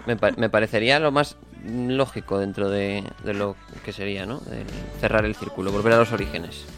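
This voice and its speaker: Male radio co-host, somewhat low voice, steady and reasoned, soft music bed. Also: fairly quick. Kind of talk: casual radio chat.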